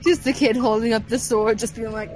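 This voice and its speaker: A woman's voice: a little high, fairly even intonation, fairly quick, music in the background, low-quality recording. A woman chatting casually and playfully over a video game, giggly, often acting out silly little lines. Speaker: co-commentator on a game playthrough. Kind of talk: casual gameplay chat.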